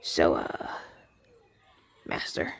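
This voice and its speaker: A man voicing a shy, earnest crow familiar in an ASMR roleplay, hesitant and rambling, with stammers. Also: drawn-out words. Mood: hopeful, eager.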